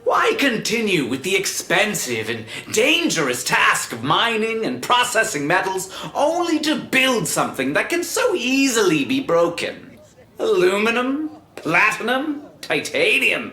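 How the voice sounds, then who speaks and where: Pompous scientist pitchman, high bright voice, theatrical delivery, echoing hall. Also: huge pitch swings, unhurried, heavily acted. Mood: proud, grandiose. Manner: theatrical, grandiose, salesmanlike. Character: pompous male scientist showman unveiling an invention. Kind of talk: scripted audio drama stage presentation. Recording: roomy, echoing hall sound.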